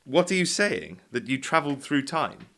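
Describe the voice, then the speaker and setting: An actor playing a greedy, scornful college master in a comic radio play, with an imperious, dry, exasperated manner. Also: male voice, medium pitch, sing-song, normal speed, pushed and bright.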